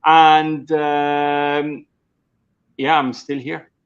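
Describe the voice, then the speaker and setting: Middle-aged Swiss-born man, gravelly voice, halting delivery, muffled lo-fi sound. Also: a little high, little pitch movement. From Switzerland. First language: Swiss German. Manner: halting, with frequent restarts and fillers. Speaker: guest, coach. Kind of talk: conversational interview.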